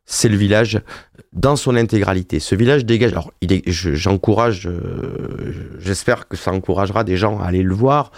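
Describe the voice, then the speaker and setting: A man's voice: low voice, normal speed, darkish tone, no echo, clean studio sound. A man in his fifties, an author being interviewed on radio, talking with many hesitations and restarts. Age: 50s. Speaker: author and wine journalist.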